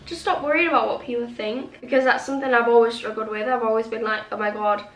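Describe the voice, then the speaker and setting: A woman's voice: a little high, fairly even intonation, fast talker, a bit bright. A young woman chatting casually to camera as a vlogger, sniffly with a cold and rambling with lots of "like". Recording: echoey room with faint music. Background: faint music.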